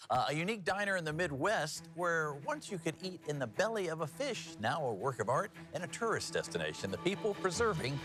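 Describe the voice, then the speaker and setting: A man's voice: fairly high pitch, sing-song, brisk, hardly any pauses, music in the background. A man working as a TV news anchor and reporter, with a folksy, conversational, jokey way of presenting.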